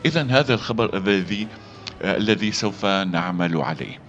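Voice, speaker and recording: A man teaching a voice-over lesson, halting with fillers and restarts between read-aloud demonstrations. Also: sits low, expressive, fairly bright tone. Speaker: voice acting coach. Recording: dull lo-fi sound with faint music.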